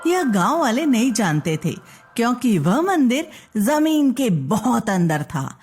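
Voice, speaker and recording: A woman's voice: on the high side, very animated, a little slow, darkish tone, soft background music. A woman reading a scripted children's bedtime story as narrator.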